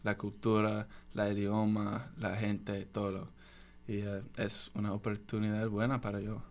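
A man whose first language is English, speaking as a guest in careful, halting speech with many pauses. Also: fairly low pitch, monotone, darkish tone.

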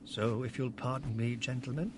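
An actor playing a kindly, sorrowful elderly merchant, earnest and theatrical in a radio drama. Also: male voice, mid-range voice, fairly even intonation, normal speed, background noise, natural voice. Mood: wistful, defeated. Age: older. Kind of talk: family radio drama acting.

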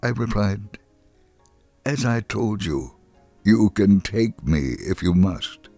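Male narrator, low voice, dry studio sound, expressive scripted storytelling, soft music behind. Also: slow, no echo. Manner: expressive, acts out quoted dialogue. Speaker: reader of a dramatized true story.

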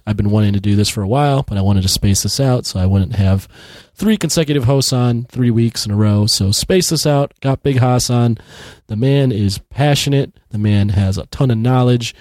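A man in his thirties hosting a podcast in casual chat, his voice raspy from losing it, laughing often and restarting his sentences. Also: on the low side, a little mellow, dry recording. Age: 30s. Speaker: podcast host. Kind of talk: casual conversation.

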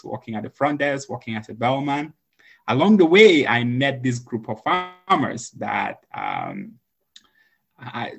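Guy from cameroon on a podcast, kinda gravelly and husky, earnest, lots of ums. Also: mid-range voice, fairly bright tone. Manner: earnest, many filler ums. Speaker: podcast guest, nonprofit founder. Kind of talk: conversational storytelling.

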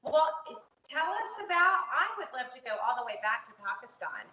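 A woman's voice: high voice, very animated, normal speed, bright, forceful tone. A woman hosting a chatty interview show at home, speaking in an effusive, enthusiastic way. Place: home, indoors with windows open. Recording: echoey room, muffled, faint background noise.

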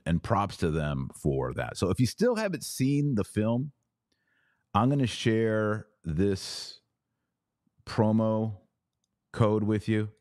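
A man's voice: low-pitched, darkish tone, no room sound, clean studio sound. A middle-aged man hosting a podcast, giving an earnest, casual review of a film he recommends, with small restarts. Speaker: podcast host. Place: in Texas.

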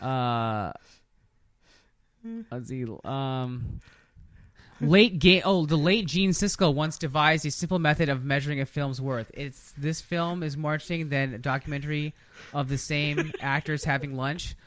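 A man's voice: on the high side, a little slow, fairly bright tone, dry recording. A man hosting a podcast, chatting casually about movies in a bantering, profane way. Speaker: podcast host.

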